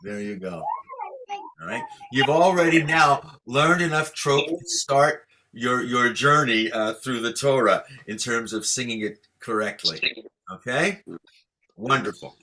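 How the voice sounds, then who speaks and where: A man's voice: medium pitch, bright, forceful tone, poor audio. A man teaching Torah chanting to a class over a video call, patient and encouraging as he explains and leads the students. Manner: patient, encouraging, chants each sign slowly for students to repeat.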